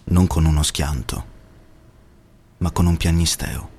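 An actor playing a solemn male reciter who declaims verse gravely in a radio drama. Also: extremely low pitch, steady tone, slow pace, darkish tone, a little hiss or hum, full character voice.

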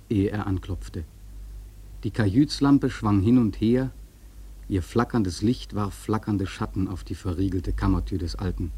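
A man narrating a literary radio drama from a script in a mellow, husky voice. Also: on the low side.

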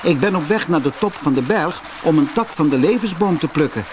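A man's voice: a little high, expressive, a little fast, background noise, natural voice. A man voicing a polite, worried young boy on a storybook quest, sounding anxious. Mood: pleading.

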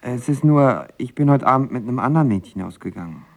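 A man's voice: medium pitch, lively intonation, fairly quick, creaky, darkish tone. An actor playing a lovesick, volatile young man in an audio drama, talking in a colloquial, sarcastic, self-deprecating way. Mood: pleading, dejected. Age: young adult. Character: lovesick, volatile young male actor narrating his first heartbreak. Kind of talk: audio drama acting with first-person narration.

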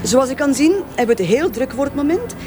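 An actress playing a snippy, officious Flemish hotel receptionist in a radio drama, speaking curtly. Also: female voice, high voice, expressive, fast talker, over music. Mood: curt, dismissive. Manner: curt, officious. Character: brisk, snippy female hotel head receptionist. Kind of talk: naturalistic radio drama acting.

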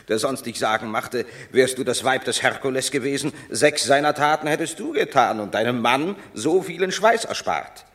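An actor playing a proud, scornful banished Roman warrior in a radio drama of classical verse, with a declamatory, measured, grand delivery. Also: male voice, medium pitch, fairly bright tone.

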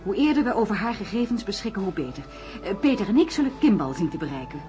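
A woman acting an inquisitive, practical colleague in a science fiction radio play. She speaks articulately with a lively, varied pitch, and music plays faintly behind her. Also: fast talker, close to an everyday voice. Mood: practical, urgent. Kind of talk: Dutch radio drama acting.

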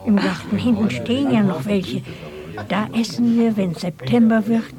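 A woman's voice: medium pitch, fairly even intonation, moderate pace, crowd noise, lightly acted. Scolding, fond old grandmother played by a woman. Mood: soothing. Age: older. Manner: scolding, plain-spoken. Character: gruff but fond old village grandmother. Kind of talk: German radio play acting.

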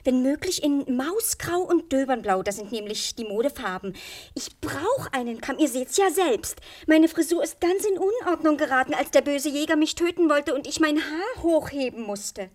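Naive chatty little princess, girlish and earnest, really high swoopy voice, kids radio play. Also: lively intonation, moderate pace, darkish tone. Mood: artless, chatty. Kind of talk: children's fairy-tale radio play acting.

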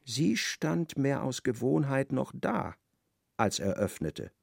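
Male narrator for a radio play, lively dramatic reading, gravelly voice, dry close mic. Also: medium pitch, takes it slowly, no room sound. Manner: expressive, dramatic storytelling.

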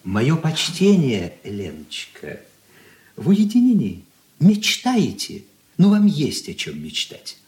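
Sly, lilting schemer. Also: male voice, fairly high pitch, huge pitch swings, slow, darkish tone, full character voice. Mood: oily, teasing. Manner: mock-courteous, insinuating. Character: sly, insinuating male schemer, oily and mocking. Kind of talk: Soviet radio play acting.

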